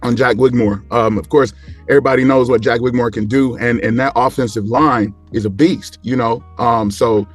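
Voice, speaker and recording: A man's voice: medium pitch, steady tone, moderate pace, faint music behind. A man in casual sports talk as a panel guest, rambling along and repeating phrases for emphasis.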